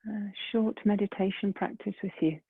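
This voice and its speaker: A woman leading a guided meditation in a gentle, soft, breathy voice, unhurried, with long pauses between phrases. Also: medium pitch, no echo. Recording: muffled phone-like lo-fi sound.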